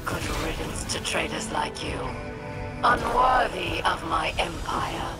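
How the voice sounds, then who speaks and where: A woman's voice: sits low, expressive, takes it slowly, loud music behind the voice. A woman voicing a vengeful, imperious alien warlord in a video game, menacing, commanding and scornful. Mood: cold fury. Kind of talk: video game villain acting.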